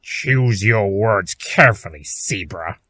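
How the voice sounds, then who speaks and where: A man's voice: fairly low pitch, expressive, slow pace, bright, forceful tone, heavily acted. A man playing a bitter, self-loathing creature of chaos in an audio drama, theatrical and volatile. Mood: menacing. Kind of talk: fan audio drama acting.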